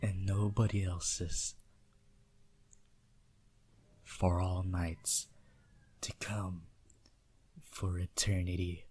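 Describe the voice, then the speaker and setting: A man playing a possessive, seductive vampire prince in a teasing, intimate ASMR roleplay with quiet menace. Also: low voice, little pitch movement, slow, slightly rough, full character voice.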